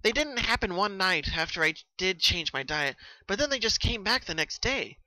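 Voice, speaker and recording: A man voicing a troubled, sweet young female party pony in an earnest, emotional and animated way, as in a story reading. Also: high-pitched, normal speed, dry recording, pitched above the performer's own voice, exaggerated voice, poor audio. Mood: recalling.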